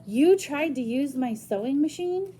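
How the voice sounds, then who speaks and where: A woman's voice: sits high, expressive, a little slow, dark tone, a little hiss or hum, pitched above the performer's own voice. A woman reading aloud and voicing a stern but caring woman guardian who speaks sharply and firmly, then soothingly. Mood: gentle.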